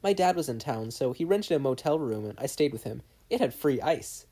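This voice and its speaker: A story narrator voicing a mild, evasive teenage boy in a casual, slightly halting way. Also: medium pitch, expressive, mellow, slightly rough.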